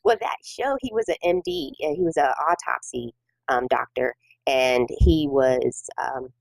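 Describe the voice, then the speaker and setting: Middle-aged woman from south korea, korean native, really low gravelly voice, dry close sound. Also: lively intonation, dry recording, rough recording. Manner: soft-spoken and measured, many pauses and fillers. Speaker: guest minister. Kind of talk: personal testimony in an interview.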